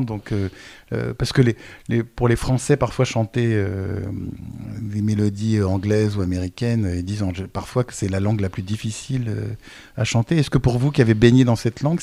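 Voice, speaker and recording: Male radio host, lowish voice, long meandering questions. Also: mellow. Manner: long wandering questions with many fillers. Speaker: radio interviewer. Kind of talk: radio interview.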